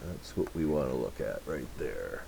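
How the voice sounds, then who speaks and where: A man giving a casual hands-on workbench demonstration, unhurried and half muttering to himself as he works. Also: a bass voice, animated, creaky, mellow, slight background noise. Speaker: electronics repair hobbyist, channel host. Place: indoor electronics workbench.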